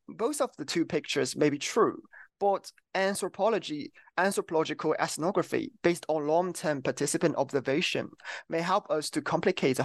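A man's voice: a little high, no echo. A young man hosting an academic podcast interview, speaking earnestly with a few restarts. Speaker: podcast host, PhD student. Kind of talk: interview introduction.

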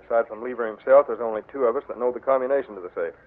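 An actor playing a nervous, defensive clerk hiding a criminal past in a 1940s radio drama. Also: male voice, mid-range voice, rapid-fire, close to an everyday voice. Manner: tense and defensive. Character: nervous male clerk, former bank robber. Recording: tinny old radio broadcast.

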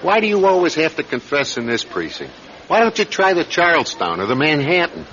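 An actor in a radio drama playing a weary, dismissive police sergeant, gruff, sardonic and colloquial. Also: male voice, a little high, huge pitch swings, a little fast, a bit bright, full character voice. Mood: irritated. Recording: dull lo-fi radio recording.

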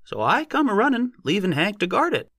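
A man voicing an excited, boastful rustic odd-job man in an audiobook, with a squealing, breaking voice. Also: sits high, fairly quick, dry recording, studio quality, pitched above the performer's own voice, heavily acted. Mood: frantic wonder. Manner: folksy, exclaiming. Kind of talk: audiobook character voice.

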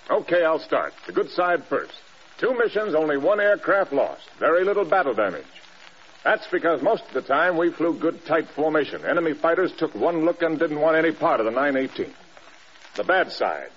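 An actor playing a stern, demanding American air force general in clipped, blunt classic radio drama style. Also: male voice, a little high, slightly rough. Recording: dull, band-limited old radio recording.

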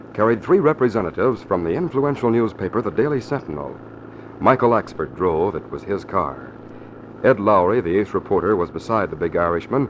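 Male 1940s radio narrator, declamatory and emphatic, widely swinging intonation, dulled old broadcast sound. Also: fairly low pitch. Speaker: announcer and narrator. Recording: dull, faintly noisy old radio broadcast.

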